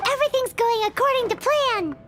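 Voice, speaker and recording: A woman voicing a tiny, excitable, childlike fairy companion in a video game, with a squeaky voice. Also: fairly quick. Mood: excited, triumphant. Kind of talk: scripted video game character acting. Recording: loud game music behind voice.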